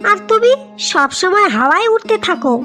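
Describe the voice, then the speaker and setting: A woman's voice: very high voice, animated, moderate pace, bright, forceful tone, faint music behind, full character voice. A woman voicing a nagging, practical and resentful co-wife in a cartoon, with a scolding, accusing manner. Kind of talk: cartoon voice acting.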